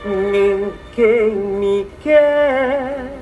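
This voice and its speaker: A woman's voice: on the high side, very slow, muffled recording. A woman singing a sentimental old song. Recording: echoey music recording with loud accompaniment.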